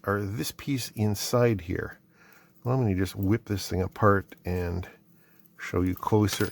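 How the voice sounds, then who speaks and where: Guy opening his mail, kinda low voice, dry close sound, reads labels out loud and explains stuff slowly. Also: no room sound. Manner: thinking aloud, reading labels, patiently explaining. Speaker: channel host. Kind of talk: casual mail unboxing and tech explanation.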